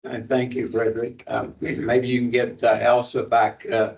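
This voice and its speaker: A man moderating a video call panel, giving slow, drawn-out prompts. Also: medium pitch, flat delivery, gravelly. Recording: dull distant video call audio.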